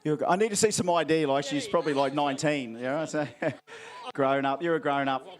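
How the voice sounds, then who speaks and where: A man's voice: a little high, fairly quick. An Australian man acting as MC at an outdoor event, handing out prizes with playful, teasing crowd banter.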